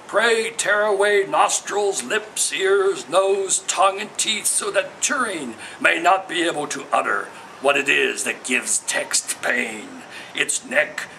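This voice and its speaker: A man's voice: medium pitch, pushed and bright, slight background noise, poor audio. A man giving a dramatic, incantatory poetry reading in a declamatory, emphatic, theatrical manner. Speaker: poet reading own work.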